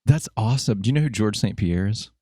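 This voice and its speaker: Male podcast host, chatty and jokey, lowish voice, dry close sound. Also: expressive, fast talker, warm tone, no room sound. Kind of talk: casual interview chat.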